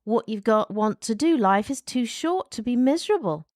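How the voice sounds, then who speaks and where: A woman's voice: fairly high pitch, animated, moderate pace, darkish tone, no echo, studio quality. Reflective woman in her fifties. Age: 50s. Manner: reflective, thinks aloud in rambling restarts. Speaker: podcast co-host. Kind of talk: casual chat.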